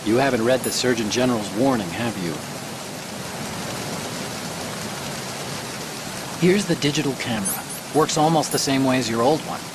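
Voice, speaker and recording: An actor playing a young, fussy male computer hacker who talks quickly and a bit nervously while guiding a soldier. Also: mid-range voice, background noise, close to an everyday voice. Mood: teasing. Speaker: mission support. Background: music and rain.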